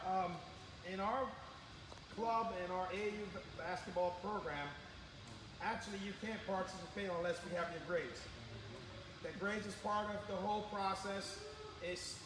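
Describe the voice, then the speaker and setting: A man from Barbados, a sports coach, talking earnestly and with emphasis in a live interview. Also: high voice. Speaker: sports coach and academy owner. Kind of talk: live interview, motivational talk. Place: indoor sports gym. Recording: echoey hall, clear background noise.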